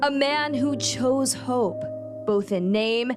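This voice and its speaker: A woman narrates a news feature in a fairly high voice. Her scripted delivery is slow, solemn and dramatic, with weighty pauses. Instrumental music plays behind her. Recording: music bed under voice-over.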